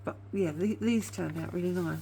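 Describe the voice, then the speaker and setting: A woman's voice: on the low side, moderate pace, gravelly, mellow, slight background noise, low-quality recording. A woman chatting casually as a craft vlogger, rambling on with a slightly whiny voice because of a sore tooth. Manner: chatty, rambling, admits to waffling. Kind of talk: casual craft show-and-tell chat.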